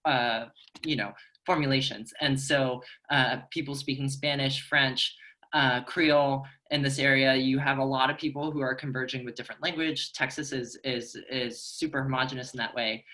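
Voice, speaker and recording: A man with a bright, forceful voice at an ordinary pitch moderates a panel. He talks off the cuff, articulate and earnest, often pausing to find the next phrase. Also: steady tone. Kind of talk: panel discussion, speaking off the cuff.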